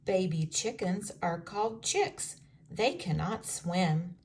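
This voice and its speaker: A woman reading a children's storybook aloud in an expressive, carefully enunciated storytelling way for young listeners. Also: lowish voice, slow, slight background noise.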